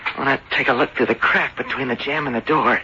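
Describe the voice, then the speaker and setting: An actor playing an earnest, excitable young voyager in an old-time radio drama. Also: male voice, mid-range voice, quick pace, natural voice. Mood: uneasy. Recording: dull, hissy old radio recording.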